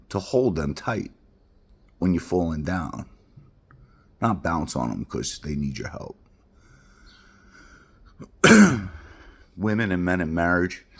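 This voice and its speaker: Husky, very deep-voiced New man. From Queens, New York. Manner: blunt, rambling, heartfelt street talk. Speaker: vlogger. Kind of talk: personal confessional monologue.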